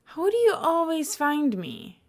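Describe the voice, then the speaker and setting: A woman's voice: high-pitched, sing-song, a little slow, pitched above the performer's own voice, full character voice. A woman voicing an exasperated young male computer science student in a story, dry and teasing.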